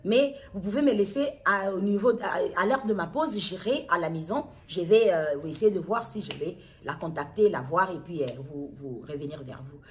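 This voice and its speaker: A middle-aged woman with a Kinshasa Congolese accent and a somewhat low-pitched voice. She tells her account in her own words, as a mother addressing viewers. Her delivery is halting, with phrases repeated and restated as she goes. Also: expressive, a little hiss or hum. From Democratic Republic of the Congo. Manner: halting, repetitive, circles back to restate phrases. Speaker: mother making a public appeal video. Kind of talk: personal testimony to camera, unscripted recounting.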